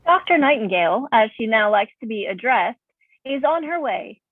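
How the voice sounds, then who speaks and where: Formal, measured female helper played by a woman in a stage drama, dry and heavily band-limited. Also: fairly high pitch, lively intonation, breathy edge, no room sound. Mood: informative. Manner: precise, courteous, formal. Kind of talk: staged play reading. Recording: very dull, muffled, dry sound.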